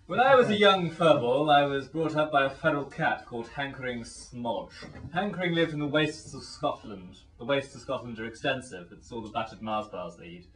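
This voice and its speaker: A man playing a pompous, florid, rambling gentleman in an absurd comic radio play. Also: mid-range voice, normal speed, hardly any pauses, close to an everyday voice. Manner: florid, grandiloquent. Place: small dressing room. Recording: echoey room, distant microphone.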